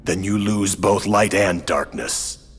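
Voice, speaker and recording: An actor playing a menacing, imposing warrior of darkness in a video game, speaking in a grave, commanding, formal way. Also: male voice, low-pitched, takes it slowly. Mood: stern, commanding. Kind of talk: dramatic video game voice acting. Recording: loud ominous music behind.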